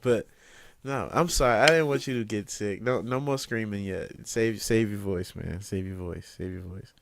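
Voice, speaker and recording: A young man hosting a casual interview, joking around, laughing, and loudly protesting in fun. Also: lowish voice, moderate pace, vocal fry, background noise. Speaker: interviewer, channel host.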